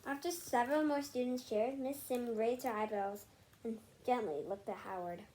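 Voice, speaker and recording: A high female voice reads a picture book aloud in a halting way, repeating words as it goes and dropping into casual asides. It is a home recording with some faint background noise. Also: dark tone, poor audio. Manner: halting, stumbles and rereads words. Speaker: read-aloud storyteller.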